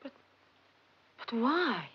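An actress playing a devoted, earnest female scientist in a 1950s film drama, speaking emphatically with dramatic pauses. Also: a little high, very animated, slightly rough, full character voice. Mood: distressed. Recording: muffled 1950s film soundtrack, faint music.

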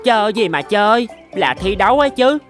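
An actor as a cocky, lively cartoon goat kid. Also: medium pitch, fairly quick, soft background music, natural voice. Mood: pushy. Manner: sing-song, pleading, boastful. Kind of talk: Vietnamese animated fable dialogue.

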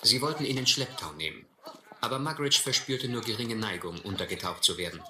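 An actor playing an educated, resigned gentleman castaway in a radio play, articulate, earnest and bitter. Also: medium pitch, expressive, a little fast, crowd noise, close to an everyday voice. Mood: grim narration. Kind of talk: German radio play narration and dialogue. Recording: roomy, faintly noisy radio play recording.

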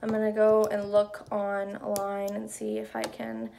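A woman's voice: mid-range voice, very animated, unhurried, gravelly, warm tone, slight background noise. A young adult woman talking informally and rambling in a casual home vlog, recorded indoors on a handheld device at arm's length. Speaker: vlogger. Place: indoors in an apartment. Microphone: phone or camera at arm's length.

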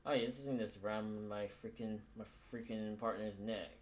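A man's voice: lowish voice, fairly even intonation, normal speed. A man chatting in improvised game roleplay, casually swearing and often repeating his phrases. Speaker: roleplay player. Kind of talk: improvised Minecraft roleplay chat.

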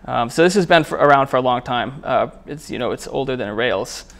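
A man presenting at a programming meetup in a casual, conversational, somewhat halting way as he walks through a live demo. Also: medium pitch, gravelly, fairly bright tone. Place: indoor meetup room, speaking before a small group.

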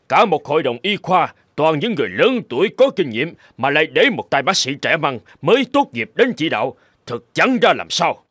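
A man's voice: medium pitch, fairly bright tone, no room sound. A man voicing a figure in a tense cartoon medical drama, formal and emphatic in a dramatic dubbing style. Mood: indignant.

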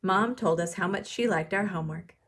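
Woman reading as eager, husky schoolgirl. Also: medium pitch, normal speed. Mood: proud, eager. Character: eager young schoolgirl twins proud of helping.

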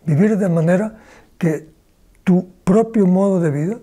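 An older man from Cuba, a philosophy professor, answering interview questions slowly and deliberately in a small room with bookshelves, pausing often to search for words. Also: high voice, warm tone.

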